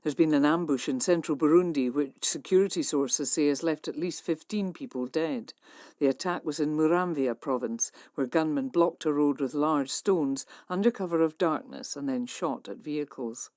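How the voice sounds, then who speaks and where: Low-voiced woman reading the news. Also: moderate pace, mellow, dry recording, studio quality. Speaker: newsreader. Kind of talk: news bulletin.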